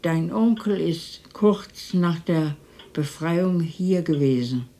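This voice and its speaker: A woman's voice: fairly low pitch, slow pace, warm tone. An actress playing a lonely, motherly elderly neighbour in radio drama dialogue, with a fussing, hospitable manner. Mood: subdued, reminiscing. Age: older.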